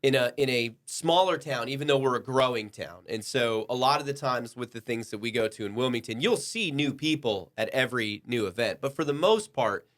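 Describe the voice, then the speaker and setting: A man with a mid-pitched voice hosts a casual panel conversation. He banters with the others and takes his time laying out his points in full. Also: animated, a little fast, few pauses, fairly bright tone. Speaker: podcast host.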